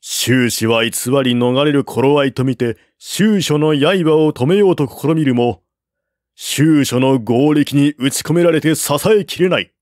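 A man narrating a classical war novel as an audiobook, in a measured, even storytelling manner. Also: mid-range voice, dry recording, clean studio sound.

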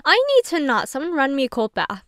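A woman's voice: high-pitched, huge pitch swings, a little fast, fairly bright tone, no echo, clean studio sound, exaggerated voice. A young adult woman playing a sardonic, flustered, self-deprecating young woman who rambles and swears casually in an audio roleplay monologue. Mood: flustered, joking.

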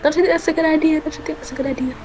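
A very high-pitched young rich girl acted by a woman in a voiced game roleplay. She is spoiled and bossy, with a sassy, demanding, dismissive way of talking. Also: steady tone, rapid-fire, darkish tone, a little hiss or hum, natural voice. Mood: breezy.

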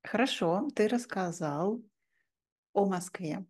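A woman in her thirties hosting a slow, conversational interview for language learners on a video call. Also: mid-range voice, a little mellow. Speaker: host, interviewer. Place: indoor room at home, video call. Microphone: desk microphone, video call.

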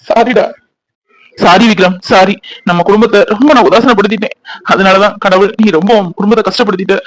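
Guy doing a guilty older brother saying sorry over and over, fairly high voice, fast. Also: natural voice, rough recording. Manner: apologetic, pleading. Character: remorseful elder brother and father. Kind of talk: web serial drama acting.

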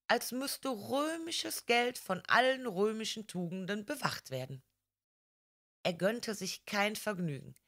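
A woman voicing a proud, defiant young woman who confides family secrets in an audiobook, earnest, formal and deliberate. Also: medium pitch, fairly bright tone. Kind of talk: audiobook character reading.

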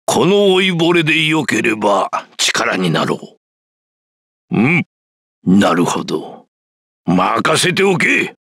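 Gravelly old warrior grandpa in a game, humble but resolute, really animated delivery. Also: male voice, mid-range voice, moderate pace, long pauses, no room sound, clean studio sound, full character voice. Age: older. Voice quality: gravelly, forceful rasp. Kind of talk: anime-style video game voice acting.

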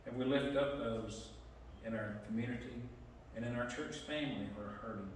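Middle-aged pastor in an echoey sanctuary. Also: male voice, mid-range voice, recorded from a distance, slight background noise. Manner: plain-spoken, halting with fillers. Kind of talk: church prayer time and pastoral prayer. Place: large church sanctuary. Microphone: pulpit mic, camera far back.

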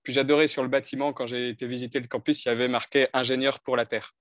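A man's voice: medium pitch, flat delivery, fast, a bit bright, no echo, studio quality. A man in his thirties from northern France, a coach telling his life story in a video call interview, thoughtful and hesitant with many restarts. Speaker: coach and speaker. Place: bright indoor room. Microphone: headset on video call.

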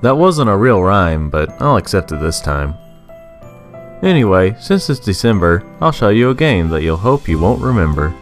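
A man's voice: fairly low pitch, very animated, normal speed, soft background music. A man reading a scripted, rhyming video game review in a sarcastic, sing-song manner.

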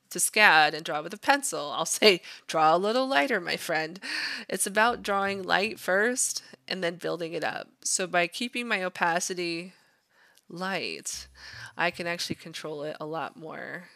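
A woman's voice: fairly low pitch, sharp tone, no room sound. A woman art instructor narrating a drawing demonstration in an informal, encouraging, conversational way. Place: voice-over to a screen recording.

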